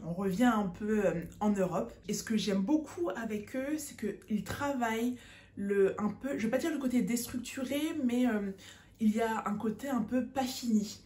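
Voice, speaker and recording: A woman's voice: on the high side, a little mellow. Woman, casual vlog, hesitant and chatty, echoey room. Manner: chatty, many hesitations, mixes in English words. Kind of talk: casual chat to camera.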